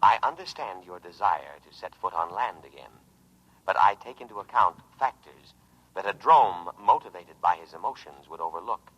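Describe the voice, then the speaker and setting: Male war-machine computer, low pitch, pompous measured delivery, paranoid calm, old muffled radio drama sound. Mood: coolly suspicious. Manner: solemn, measured, grandiose oratory. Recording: muffled vintage radio broadcast recording.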